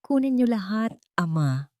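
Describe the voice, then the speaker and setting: A woman's voice: medium pitch, sing-song, a little slow, darkish tone, no room sound, pitched above the performer's own voice. A woman narrator plays a wealthy young father who stands his ground for his family in an audiobook drama. The delivery is earnest and emphatic, devoted and firm, in a very dry recording. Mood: defiant.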